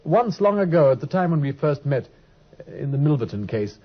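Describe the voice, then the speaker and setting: A brilliant, aloof detective in an old radio play, drawling and teasing as he explains. His pitch sits a touch high and swings in a lively way. The husky voice comes through a dull, lo-fi broadcast recording. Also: brisk. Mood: wry. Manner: drawling, wry, lecturing tone. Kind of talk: 1950s radio drama acting.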